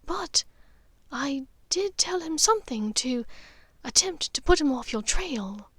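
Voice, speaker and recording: A middle-aged noble witch mother, as a female narrator puts her on, composed and cunning. Her voice is high and often breathy, with hushed, deliberate phrasing. It is recorded close and dry, like an audiobook. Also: lively intonation, no echo.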